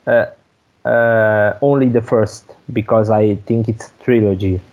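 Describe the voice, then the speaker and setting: Slow, hesitant man. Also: on the low side, fairly even intonation, a little mellow, highs cut off. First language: Hungarian. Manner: hesitant, many fillers. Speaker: guest. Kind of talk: casual chat.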